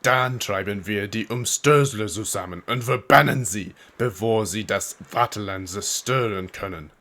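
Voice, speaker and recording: An actor playing a grim, fanatical German army corporal in an audio drama, speaking sternly and deliberately. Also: male voice, fairly low pitch, bright, forceful tone. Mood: fanatical, foreboding.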